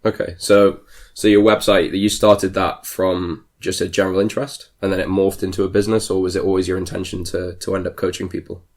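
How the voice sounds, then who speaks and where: A man's voice: low voice, level tone, a little fast, few pauses, darkish tone. A British man hosting a fitness podcast, friendly and loose, joking about himself. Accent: British English. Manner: friendly, loose, self-deprecating. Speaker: podcast host. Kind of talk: casual interview hosting.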